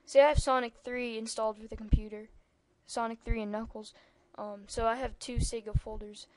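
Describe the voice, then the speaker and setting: A woman's voice: a little high, little pitch movement, brisk, a little mellow. A young-sounding female speaker giving a rambling, casual computer tutorial over a screen recording, with lots of ums and asides. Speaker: tutorial maker.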